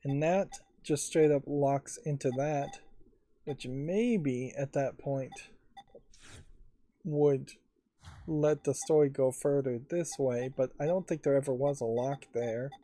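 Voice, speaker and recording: A man giving casual let's play commentary, thinking aloud analytically with pauses. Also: medium pitch, mellow, no echo. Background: faint game music.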